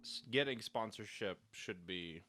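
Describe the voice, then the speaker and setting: Male performer, lively pitch swings, loose banter mixed with script retakes, noticeably noisy recording. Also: measured pace. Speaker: voice performer rehearsing lines. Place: recording studio.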